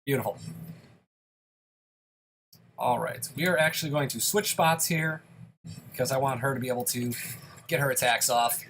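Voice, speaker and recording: A man's voice: mid-range voice, normal speed, long pauses, a bit bright, slight background noise, poor audio. A young man giving casual, self-deprecating play-by-play commentary on a dungeon game while a bit sick. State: slightly sick, occasional coughs. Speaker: gaming YouTuber.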